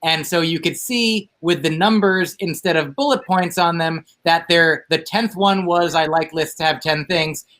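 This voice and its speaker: A man's voice: a little high, bright, forceful tone. Earnest man over-explaining a joke. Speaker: contestant. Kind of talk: explaining a joke on a comedy game show.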